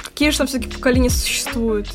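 A young woman in her twenties co-hosts a casual podcast and tells stories in a loose, slangy way. Her voice sits fairly high. Music plays clearly behind her. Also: rapid-fire. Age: 20s. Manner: chatty storytelling with slang. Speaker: podcast co-host. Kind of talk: casual chat.

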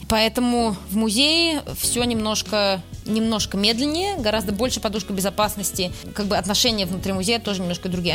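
A woman from Russia giving thoughtful interview answers, often searching for words. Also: fairly low pitch. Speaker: interview guest, museum worker.

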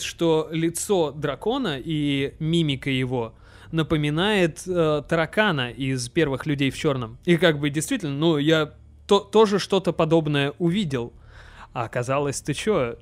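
A young man co-hosting a podcast, chatting casually with lots of fillers and quick restarts. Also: fairly high pitch. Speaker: podcast co-host. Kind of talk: casual chat.

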